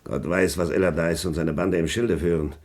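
An actor playing a stern, menacing pirate captain in a radio play, commanding and threatening with a husky voice. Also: male voice, low-pitched, steady tone, moderate pace, a little mellow. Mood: angry, menacing.

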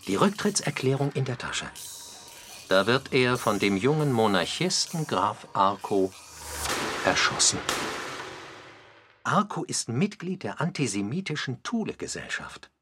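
A man's voice: medium pitch, sing-song, measured pace, long pauses, noisy background. A man delivering scripted radio documentary narration.

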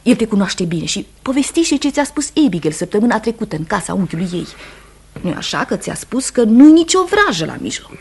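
An actress playing a grave, wounded, mistrustful farmer's wife, restrained and earnest in Romanian radio theatre style. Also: female voice, medium pitch, very animated, darkish tone, husky. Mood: urging.

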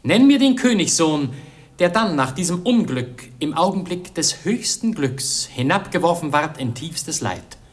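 Ardent young prince, highish swooping voice. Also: male voice, big pitch swings, a little slow. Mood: defiant. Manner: impassioned, declamatory. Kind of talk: theatrical German radio play acting.

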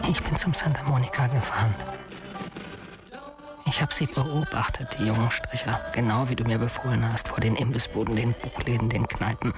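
A man's voice: mid-range voice, natural voice. An actor playing a deranged, obsessive male killer in his thirties in a German radio crime drama, pleading intimately and often sinking toward a whisper. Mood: pleading, wounded. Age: 30s.

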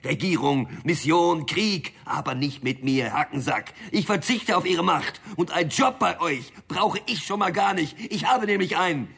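An actor playing a defiant, sardonic small-time hustler in a radio thriller, with a husky, creaky voice and blunt delivery. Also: male voice, fairly high pitch, animated, normal speed, hardly any pauses, fairly bright tone, full character voice. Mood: defiant, angry. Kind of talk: radio crime drama acting.

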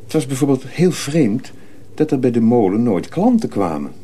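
A man narrating a radio play as a measured, expressive storyteller reading from a script. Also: medium pitch, a little hiss or hum.

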